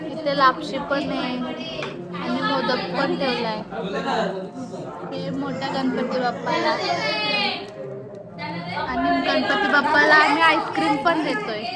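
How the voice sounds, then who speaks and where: A woman's voice: high voice, fairly even intonation, slow pace, long pauses. A woman chatting casually as a family vlogger, prompting the people around her in a home. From Maharashtra, India. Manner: chatty, instructing relatives to greet the camera. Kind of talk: casual festival vlog chat. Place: indoors at a family home during a festival gathering. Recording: crowded festive room with loud music. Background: loud chatter and music.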